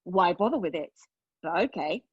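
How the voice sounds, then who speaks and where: Woman acting a reflective, wounded woman, husky voice, intimate stage monologue, deliberate pauses, dry muffled recording. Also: on the low side, lively intonation, a little mellow, no echo. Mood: excited, absorbed. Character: wounded, reflective woman recounting lost love and travel. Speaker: actor in a play table read. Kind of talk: dramatic monologue reading.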